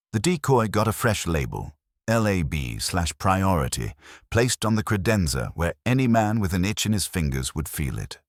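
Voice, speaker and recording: A man narrating a scripted first-person detective story as an audiobook, with wry, deadpan phrasing. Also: deep voice, no room sound, studio quality.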